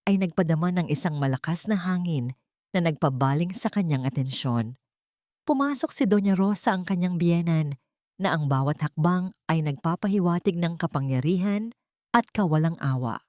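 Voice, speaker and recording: A woman's voice: fairly low pitch, animated, normal speed, a little mellow, no echo, clean studio sound. A woman narrating a scripted dramatic story.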